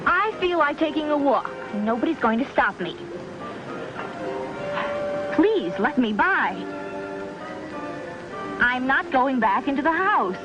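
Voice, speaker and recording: A woman's voice: high-pitched, sing-song, takes it slowly, pauses often, exaggerated voice. A young woman playing a spirited, headstrong society daughter in a 1950s television western. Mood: defiant. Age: young adult. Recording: muffled old 1950s TV soundtrack with music.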